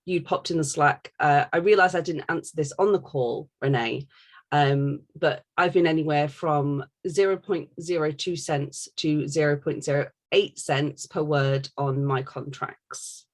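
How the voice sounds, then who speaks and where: A woman's voice: low-pitched, steady tone, measured pace. A woman co-hosting a podcast in a casual, joking and irreverent way, reading out questions. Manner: playful, irreverent. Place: bedroom.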